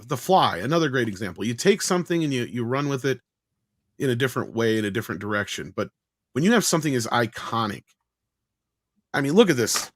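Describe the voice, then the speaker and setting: A man with a mid-pitched voice talks in a casual film-chat style, as a panelist on a pop culture show would. The recording is dry, with little room sound. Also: sing-song, fast talker, no echo.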